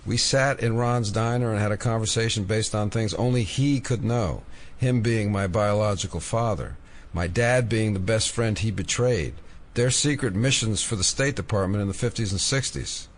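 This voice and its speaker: An actor playing a wry, earnest FBI agent obsessed with conspiracies in an audio drama. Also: male voice, fairly low pitch, moderate pace, slight background noise, lightly acted. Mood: earnest, insistent.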